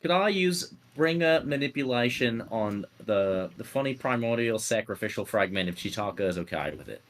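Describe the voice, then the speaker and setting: Halting man, medium pitch, roleplay player. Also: lively intonation. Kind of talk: casual in-game talk.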